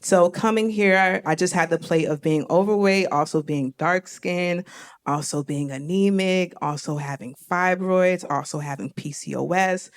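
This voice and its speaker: A woman's voice: on the low side, a little slow. Young woman from miami hosting a live talk, chatty and open, laughs a lot. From Miami, Florida. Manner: chatty, candid, laughs easily. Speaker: host. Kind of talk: live stage conversation with audience. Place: live event stage before an audience.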